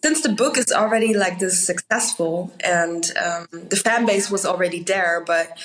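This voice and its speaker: A woman's voice: on the low side, moderate pace, a little hiss or hum, rough recording. Austrian woman, native Mandarin speaker, chatting casually. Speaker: interview guest, actress. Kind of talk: casual interview chat.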